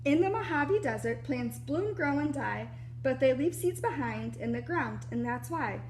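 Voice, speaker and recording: A woman reading a children's picture book aloud like a preschool teacher, animated and explanatory, with little asides to young listeners. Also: high-pitched, moderate pace, a little hiss or hum.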